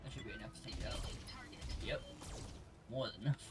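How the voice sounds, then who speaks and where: A man's voice: medium pitch, brisk, loud music behind the voice. A man doing casual let's play commentary, muttering through his decisions as he plays.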